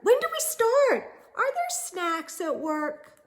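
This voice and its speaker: Woman voicing an excitable, high-pitched little bird girl. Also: exaggerated voice. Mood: eager, excited. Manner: breathless, bubbly, rapid-fire questions. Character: eager, chattering young girl bird, an excitable fan. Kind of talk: children's picture-book read-aloud.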